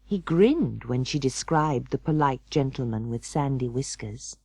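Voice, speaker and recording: A woman narrating a children's story in an expressive, measured and dramatic storytelling manner. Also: a bass voice, slightly rough, no echo. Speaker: audiobook narrator.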